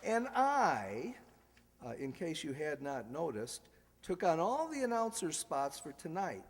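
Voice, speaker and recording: A man's voice: a little high, wildly swooping pitch, measured pace. A man announcing a live old-time radio style show as its host, in a jokey, ad-libbing manner. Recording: echoey hall with background hiss.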